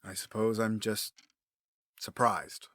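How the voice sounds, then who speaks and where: Man playing a cold, sharp-edged obsessed villain. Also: on the low side, fairly even intonation, slow, no echo. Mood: guarded. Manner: menacing, self-justifying, sardonic. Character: cold, obsessed male villain, a grieving widower running experiments. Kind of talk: dramatic audio drama acting.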